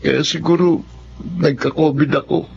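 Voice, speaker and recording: A man's voice: on the high side, fairly even intonation, moderate pace, vocal fry, dull audio, background noise. An actor in a Filipino radio drama playing a remorseful businessman boyfriend who is sick with covid. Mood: weak, pleading.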